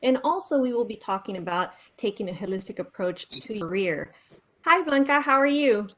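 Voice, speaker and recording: A woman's voice: mid-range voice, expressive, a little fast, fairly bright tone. A woman hosting a webinar over a video call, introducing a guest in an upbeat, enthusiastic way. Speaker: webinar host.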